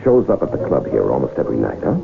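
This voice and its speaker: Smooth american radio-drama con man, low creaky voice, florid and insistent, muffled old broadcast. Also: huge pitch swings, racing, over music, heavily acted. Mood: sly persuasion. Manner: florid, insistent, teasing, formal phrasing. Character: smooth, theatrical male con man, persuasive and wily.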